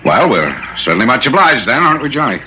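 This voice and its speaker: An actor playing an affable but fussy fishing buddy in a vintage radio drama, chatty, coaxing and easily exasperated. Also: male voice, medium pitch, big pitch swings, quick pace, bright, forceful tone. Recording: dull vintage radio recording, faint noise.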